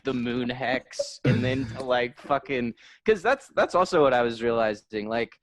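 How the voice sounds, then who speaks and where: A man's voice: mid-range voice, moderate pace, crowd noise. A man co-hosting a casual comedy podcast, riffing in slangy, profane chat. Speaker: podcast host.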